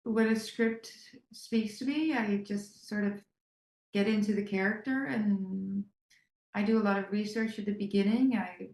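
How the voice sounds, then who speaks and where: A woman's voice: medium pitch, fairly even intonation, unhurried, a little mellow, roomy sound, low-quality recording. An actress whose first language is German, speaking English with a German accent and talking earnestly about her role in an interview. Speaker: actor. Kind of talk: press junket interview.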